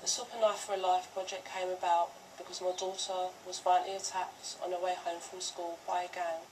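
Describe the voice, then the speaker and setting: A middle-aged British woman giving a measured testimonial, as a mother and campaigner. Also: fairly low pitch, flat delivery. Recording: very noisy, distant screen playback.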